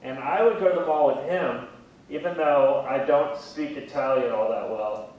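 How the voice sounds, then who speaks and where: A man in his thirties chatting casually in an interview, with a wry, gently self-deprecating way of talking. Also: moderate pace, lo-fi sound. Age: 30s. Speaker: museum communications specialist, interviewee. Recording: very echoey room, distant mic, faint rumbling noise.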